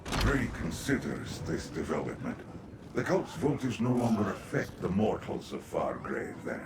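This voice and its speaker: Game oddity: low, detached, ponderous, echoing and distant. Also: male voice, very animated, measured pace, very noisy. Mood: pensive. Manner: detached, ponderous, speaks of himself in third person. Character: brooding, detached male being speaking in third person. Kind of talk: fantasy video game acting.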